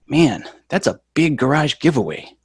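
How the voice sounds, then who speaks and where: A man's voice: a little high, big pitch swings, moderate pace, breathy edge, no room sound, clean studio sound, exaggerated voice. A man reading the part of an eager, wisecracking ten-year-old boy in an amateur sitcom table read. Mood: eager, chirpy.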